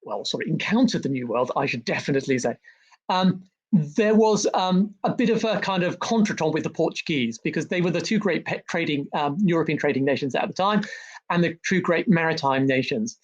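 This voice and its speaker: A man's voice: fairly high pitch, lively intonation, fairly quick, lo-fi sound. A man, a historian and author, talking as a guest in an interview, full of ums, restarts and repeated words. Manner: hesitant, many ums and restarts. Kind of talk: conversational interview about history.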